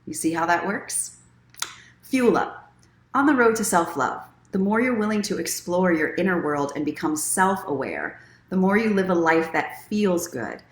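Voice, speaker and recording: Female online story-time host, low-pitched, encouraging and conversational, expressive reader, roomy echo. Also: moderate pace. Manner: encouraging, conversational, self-help tone. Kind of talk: expressive reading aloud from own book, mixed with casual livestream chat.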